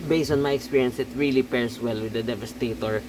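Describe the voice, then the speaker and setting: Man giving a halting gear review. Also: mid-range voice, steady tone, noisy background. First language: Tagalog. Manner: halting, with many fillers and self-corrections, checking in with "okay?". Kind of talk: casual spoken product review.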